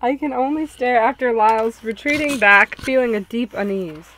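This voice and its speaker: A woman's voice: on the high side, moderate pace, fairly bright tone, low-quality recording. A woman casually chatting and reading visual novel narration aloud among friends. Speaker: show participant, narrator. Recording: background noise with clicks.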